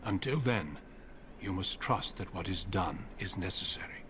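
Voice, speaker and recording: A grave, secretive warrior commander. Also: male voice, low voice, expressive, background noise. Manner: measured and solemn. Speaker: veteran Grey Warden commander. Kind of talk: video game voice acting.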